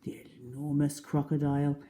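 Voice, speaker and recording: A woman reading a children's story aloud with dramatic, deliberate, expressive narration. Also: deep voice.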